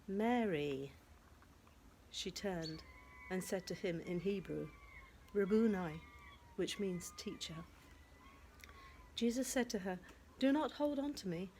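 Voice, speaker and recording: A woman reads scripture aloud with deliberate care, at a middling pitch. Faint birdsong and ambient noise sit behind her voice. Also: expressive, a little mellow. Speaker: Bible reader. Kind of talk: scripture reading.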